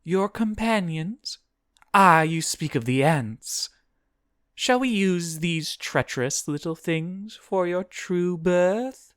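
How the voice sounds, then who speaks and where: A narrator voicing a huge, ancient female demon who speaks slowly and formally, intimate with kin and contemptuous of mortals. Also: medium pitch, a bit bright, no room sound, clean studio sound, voice pushed up. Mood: scheming, sly. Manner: slow, formal, archaic phrasing.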